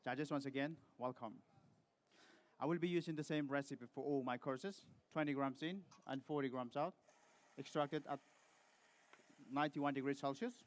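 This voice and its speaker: A man from Peru giving a rehearsed barista competition presentation to judges, speaking in a measured way with short pauses. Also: mid-range voice.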